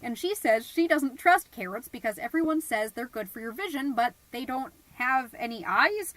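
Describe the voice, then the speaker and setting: A woman's voice: a little high, lively intonation, moderate pace, a bit bright, dry recording, low-quality recording. A woman playing a self-important, theatrical suburban mom who tells her story in a comedic scripted podcast monologue. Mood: brisk, puzzled. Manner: theatrical, pompous, indignant. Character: melodramatic suburban mom, self-important party planner, easily exasperated. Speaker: podcast host character narrating.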